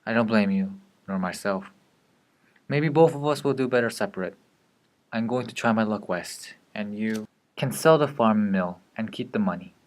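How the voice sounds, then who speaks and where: A fairly low voice, a man acting a weary young husband who feels trapped. He delivers a dramatic monologue in a brooding, deliberate way, bitter and despairing. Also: natural voice. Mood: resigned resolve.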